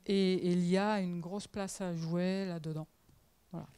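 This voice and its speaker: A woman's voice: fairly low pitch, fairly even intonation, measured pace, mellow, smooth, no echo. A woman speaking on a conference panel, hesitant, often restarting her sentences.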